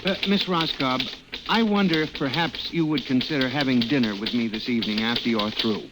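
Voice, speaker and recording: A man's voice: mid-range voice, a little fast, heavy background noise, natural voice. An actor playing a timid, earnest male statistician in an old-time radio drama, with a hesitant, dramatic delivery. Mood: shy, nervous. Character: timid, earnest, humiliated male office statistician narrating his ordeal. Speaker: narrator and lead character. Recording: vintage radio recording with music.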